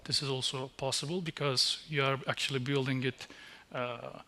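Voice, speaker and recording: A man, giving a technical conference talk on a dark stage, halting with fillers and restarts. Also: mid-range voice, fairly even intonation. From Turkey. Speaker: conference speaker. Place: dark stage in a small venue.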